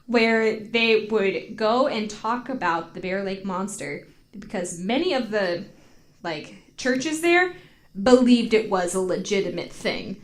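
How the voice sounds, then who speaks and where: A woman's voice: medium pitch, expressive, slow. An American woman telling a story casually on a podcast, informal and giggly, peppering her talk with "like". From United States.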